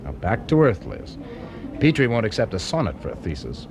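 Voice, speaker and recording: Breezy young college guy, teasing, very lively intonation, radio drama, noisy background. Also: lowish voice, a little fast, gravelly, exaggerated voice. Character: wry, friendly young male Canadian student. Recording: noisy busy background ambience.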